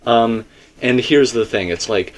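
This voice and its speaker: A man's voice: mid-range voice, unhurried. A man in a casual theory discussion, explaining his points emphatically and circling back to them. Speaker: video game theorist.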